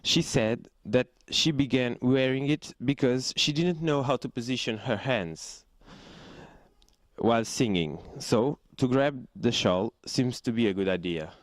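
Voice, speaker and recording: A young man from Portugal answering interview questions in halting English with restarts. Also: mid-range voice, no echo. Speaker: student interviewee.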